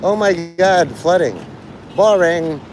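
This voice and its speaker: A man's voice: high-pitched, expressive, takes it slowly, a little mellow, faint music behind, poor audio. A man giving a casual, rambling monologue to listeners, digressive and blunt, with profanity. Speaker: live streamer. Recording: clear outdoor traffic and vehicle noise.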